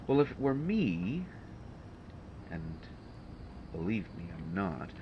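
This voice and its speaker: Deep, slow-spoken, sardonic male supervillain, acted by a man. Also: big pitch swings, exaggerated voice. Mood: indignant, lecturing. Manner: halting, dry sarcasm, articulate vocabulary. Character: male supervillain, exasperated, haughty, grudgingly helpful to his hero. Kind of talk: roleplay audio drama, one side of a dialogue. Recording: dull lo-fi audio, loud ambient rumble.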